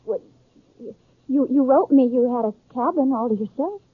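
Earnest young bride-to-be, American, hesitant, high husky voice, 1950s radio western, muffled old recording. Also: female voice, lively intonation, normal speed, dry recording. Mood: earnest, hopeful.

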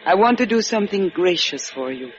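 Actress as a coldly gracious villainess, lively melodic delivery. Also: female voice, mid-range voice, brisk, slight background noise, natural voice. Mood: gracious menace. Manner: silky, formal menace. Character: coldly gracious, murderous revolutionary widow. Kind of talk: 1950s radio adventure acting. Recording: dull 1950s radio recording, faint music.

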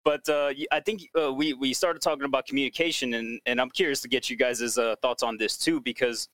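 A man speaking as a podcast guest in casual conversation, with a deeper voice and clear enunciation. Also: fairly even intonation, a little fast, fairly bright tone, no room sound.

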